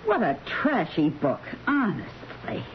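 An actress playing a prim, chatty, easily scandalized nurse-companion in a radio drama, with a fussy manner. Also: female voice, fairly low pitch, very animated, takes it slowly. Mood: disgusted. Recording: muffled radio broadcast, faint noise.

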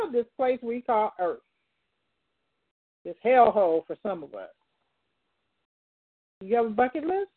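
Woman call-in host on a phone line. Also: fairly high pitch. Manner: direct, poses questions to listeners. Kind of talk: call-in talk show hosting.

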